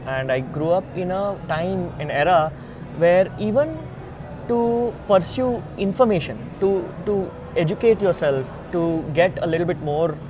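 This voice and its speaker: A man from India with an Indian accent, a founder being interviewed, explaining emphatically step by step in earnest. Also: measured pace. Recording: noisy outdoor ambience with traffic rumble.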